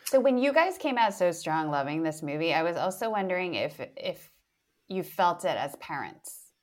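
A woman in casual conversation as a podcast co-host, inquisitive and quick to respond to the others. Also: fairly low pitch, big pitch swings.

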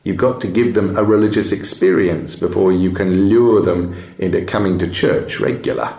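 A man's voice: low-pitched, moderate pace, creaky, mellow. Man playing proud old storyteller, roomy sound. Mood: enthusiastic. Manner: expansive, relishing his storytelling. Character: old bookseller, proud, fond of unfurling stories. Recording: roomy echo.

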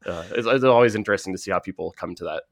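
American guy hosting a sports podcast, lowish voice, dry close sound, rambles and stumbles a bit. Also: creaky, dry recording. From San Francisco Bay Area, United States. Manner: halting, rambling, filler-heavy. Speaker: podcast host. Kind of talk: casual sports chat.

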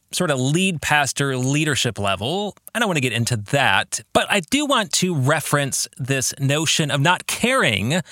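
A man hosting a reflective solo podcast, speaking in deliberate, emphatic phrases. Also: fairly high pitch, pushed and bright, no room sound, clean studio sound. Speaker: podcast host and author.

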